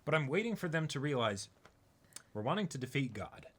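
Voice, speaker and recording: A man co-hosting a reaction show, discussing things casually and often checking in with a quick tag question. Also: mid-range voice, very animated, fairly bright tone, husky. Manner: checks in with frequent "right?". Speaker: co-host of an anime reaction show.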